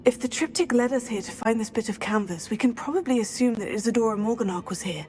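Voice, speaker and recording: A woman's voice: on the high side, fast talker, darkish tone, faint music behind, close to an everyday voice. A woman voicing an earnest teenage girl student witch in a video game. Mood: curious, focused. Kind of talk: video game dialogue acting.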